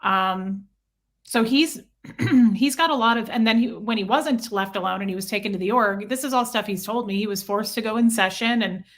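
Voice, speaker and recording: A woman's voice: medium pitch, normal speed. A woman speaking as an interview guest, telling personal stories casually and earnestly, often acting out both sides of conversations.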